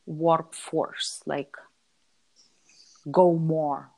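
Low-voiced Lithuanian woman chatting informally. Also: steady tone, drawn-out words, gravelly, darkish tone, rough recording. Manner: informal, repeats words for emphasis, seeks agreement with tags. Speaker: interview guest, entrepreneur. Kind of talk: casual conversational interview answers.

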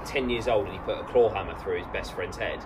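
Man in his late twenties, lowish voice, stammering restarts, casual podcast chat, traffic noise around. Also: quick pace. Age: late 20s. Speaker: podcast co-host.

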